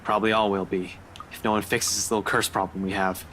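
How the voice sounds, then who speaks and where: A man's voice: on the low side, flat delivery, quick pace, pushed and bright, a little hiss or hum. Brusque, determined male investigator. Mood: dry, stubborn.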